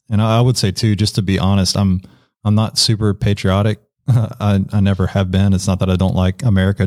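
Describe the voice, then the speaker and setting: Low-voiced candid man, very dry sound. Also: a little mellow, dry recording. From Ecuador. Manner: candid, reflective, frequent self-corrections. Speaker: podcast co-host. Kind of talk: casual discussion.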